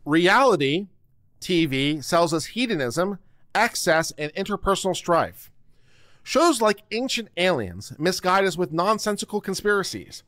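A middle-aged man giving a theatrical, deliberate dramatic reading of a written text, close on the microphone. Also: sits high, a bit bright, no echo. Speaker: host reading a document aloud. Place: small home studio room. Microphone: close microphone on a boom arm.

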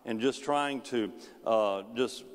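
A man's voice: slow, clean tone. A middle-aged male pastor preaching a sermon in a large church sanctuary, pacing the stage. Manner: preaching with wide swings in pitch and loudness, pacing the stage. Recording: long church hall reverb.

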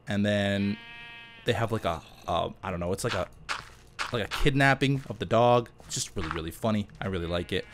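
A man's voice: on the low side, a little hiss or hum. A man giving casual, chatty let's play commentary in an informal, self-deprecating and jokey way. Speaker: gaming YouTuber host.

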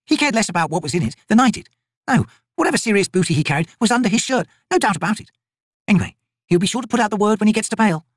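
A man voicing a gruff female soldier, brisk and sardonic in a raised voice. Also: high voice, lively intonation, dry recording, studio quality, pitched above the performer's own voice.

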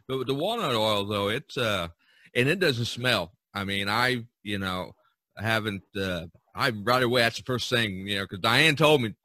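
A man hosting a casual podcast chat, talking in a halting way and repeating words. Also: on the low side, animated, sharp tone, dry recording. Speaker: podcast host.

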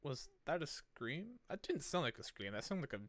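A man's voice: on the high side, big pitch swings, moderate pace, fairly bright tone, slight background noise. A man streaming a game, reading visual novel narration and dialogue aloud in a dramatic way. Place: indoor room at a streaming setup. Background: game music.

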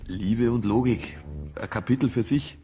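An actor playing an earnest, dry, matter-of-fact detective with a Bavarian accent in a naturalistic radio drama. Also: male voice, mid-range voice, moderate pace, dark tone, a little hiss or hum, natural voice. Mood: irritated, pressing. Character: earnest male detective, patient but easily irritated.

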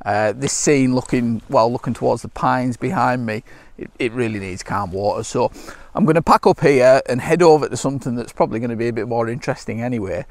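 A middle-aged British man chatting casually to camera outdoors in a relaxed, unscripted, slightly hesitant way. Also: medium pitch, brisk, no echo. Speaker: landscape photography vlogger. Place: outdoors by a lake shore.

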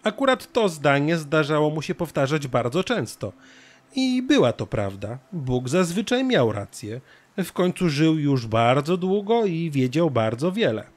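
Lively male storybook narrator. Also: on the high side, a little slow. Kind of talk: scripted children's story narration.

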